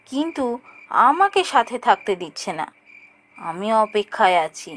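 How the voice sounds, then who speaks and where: High, animated child storyteller. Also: female voice. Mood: sulky, determined. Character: curious, stubborn child narrating a spooky adventure with an uncle. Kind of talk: dramatic scripted ghost-story narration for animation.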